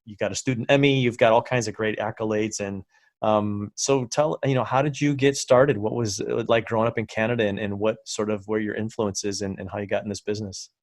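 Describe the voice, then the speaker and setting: A man hosts a podcast in a casual, friendly manner, stumbling over his questions with many fillers. His voice sits somewhat low. The recording is dry and close. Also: fairly even intonation, no echo. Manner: friendly, filler-heavy, halting. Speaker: podcast host. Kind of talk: casual interview hosting.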